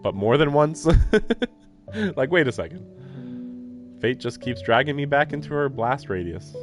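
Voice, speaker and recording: A man's voice: medium pitch, expressive, moderate pace. A man live-streaming a visual novel, reading the text aloud and adding casual, wry, offhand comments. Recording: faint game music behind the voice. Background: game soundtrack.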